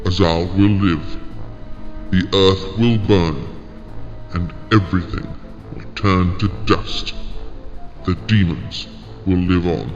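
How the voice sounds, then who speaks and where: A man acts out a ruthless, defiant demon in an audio drama, with a very low voice and a cold, deliberate manner. He speaks slowly, and a long reverb trails behind each line on a slightly dull recording. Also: fairly even intonation, faint music behind. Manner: cold, deliberate, with gloating laughs. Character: last surviving male demon, ruthless and defiant. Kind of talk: fan audio drama acting.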